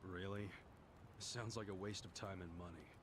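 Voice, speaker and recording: A man's voice: low-pitched, fairly even intonation, moderate pace, noisy background, close to an everyday voice. A man playing a blunt, skeptical, streetwise tough with a taunting edge in a video game. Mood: mocking. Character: blunt, mocking, streetwise male tough questioner. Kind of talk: English video game voice acting. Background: game music.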